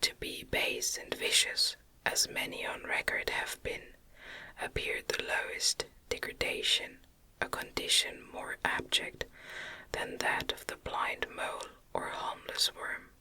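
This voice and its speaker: A woman whispering a gothic novel as an ASMR reading, gently and deliberately, with soft articulation. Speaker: ASMR reader.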